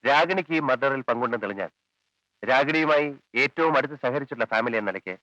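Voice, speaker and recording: An actor playing a calm male police officer who questions a witness with measured, probing lines in a 1980s film. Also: medium pitch, no room sound, highs cut off. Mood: reasoning. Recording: dry 1980s film soundtrack, faint music.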